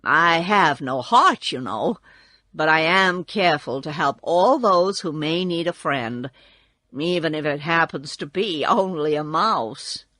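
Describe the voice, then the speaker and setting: A woman voicing a humble, kindly tin woodman from a storybook, formal, measured and earnest. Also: sits low, lively intonation, bright, forceful tone, dry recording, pitched below the performer's own voice, exaggerated voice. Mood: modest.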